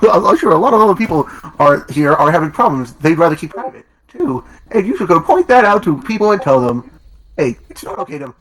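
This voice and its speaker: A man's voice: a little high, fairly bright tone, low-quality recording. A man voicing an earnest, apologetic young cartoon fox boy who rambles supportively in an amateur audio drama.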